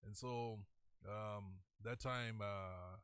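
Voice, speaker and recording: A man's voice: lowish voice, fairly even intonation, extremely slow, a bit bright, clean tone, no room sound. Kenyan guy on the radio, chatty storyteller, laughs a lot, dry close mic. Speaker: radio host. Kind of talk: casual studio chat mixing Swahili slang. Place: radio studio.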